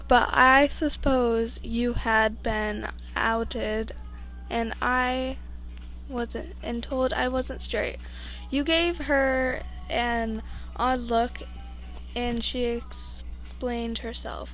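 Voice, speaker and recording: A young woman with a fairly high voice reads fan fiction aloud as an amateur. Her delivery is slow and halting, with frequent stumbles and restarts. She slips informally between the story and her own asides. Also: steady tone, a bit bright, low-quality recording. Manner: halting, stumbling over words. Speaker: amateur podfic reader.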